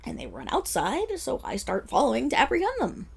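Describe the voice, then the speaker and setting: A woman acts out a boastful, flustered female superhero at a press conference in an audio roleplay. Her voice is raspy with some fry and swings widely in pitch. She rambles dramatically and defensively, like someone pushed into apologizing. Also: wildly swooping pitch, brisk, exaggerated voice. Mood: excited. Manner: rambling, defensive, dramatic storytelling with nervous laughs.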